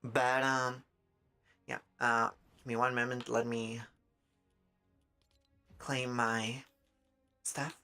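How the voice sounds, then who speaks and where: Raspy, bright-voiced streamer chatting haltingly. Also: mid-range voice, level tone, faint music behind. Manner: halting, self-interrupting, trailing off mid-thought. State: dry throat. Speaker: live streamer. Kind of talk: casual rambling chat while gaming, giving art advice.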